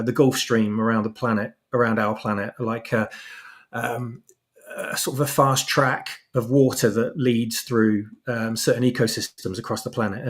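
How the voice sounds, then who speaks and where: A man talking as an interview guest and writer, explaining his ideas thoughtfully and searching for the right words. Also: mid-range voice. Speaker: guest, fan fiction writer.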